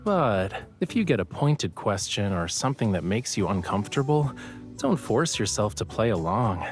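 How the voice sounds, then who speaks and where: Romantic game lead: playful young man, very animated intonation, affectionate and a little smug, music underneath. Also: lowish voice, normal speed. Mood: calm, teasing. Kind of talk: romantic game audio drama acting.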